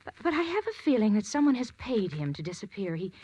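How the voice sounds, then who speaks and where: An actress playing a nervous, evasive widow in a 1940s radio drama, halting and flustered as she stammers through her lines. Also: medium pitch, very animated, darkish tone, slightly rough. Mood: anxious. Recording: old 1940s radio broadcast.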